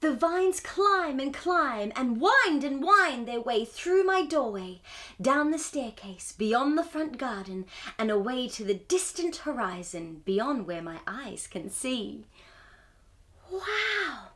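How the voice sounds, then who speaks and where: A woman hosting a storytime, reading a picture book aloud to young children in an expressive, wonder-filled way. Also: sits high, slow. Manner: wide-eyed wonder, exclamations and gasps between passages.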